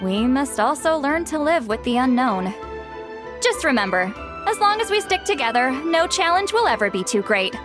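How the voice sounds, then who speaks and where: A woman's voice: high voice, very animated, moderate pace. A woman voicing a confident, decisive and encouraging young leader in a video game, speaking articulately. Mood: reassuring. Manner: assured, articulate. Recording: background music under the voice.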